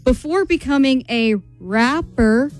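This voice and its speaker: A woman's voice: high voice, slow pace, a little mellow, faint music behind. A woman hosting a casual morning radio game, reading out quiz clues amid banter.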